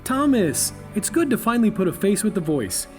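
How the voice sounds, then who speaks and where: A middle-aged scientist and old ranger, played by a man in a fan audio drama, speaking in a calm, measured way. His voice is fairly high for a man and moves lively up and down. Faint music runs under him. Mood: friendly.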